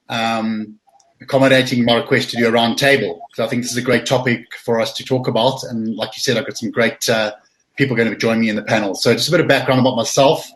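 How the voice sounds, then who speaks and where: A man's voice: medium pitch, fairly bright tone, rough recording. A man in his fifties from South Africa, moderating a virtual panel in a conversational way, often repeating words as he thinks.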